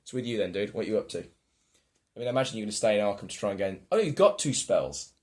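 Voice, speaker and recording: A man casually playing a board game with friends, reading cards aloud and getting excited. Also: lowish voice, fast talker. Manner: excitable, reads card text aloud.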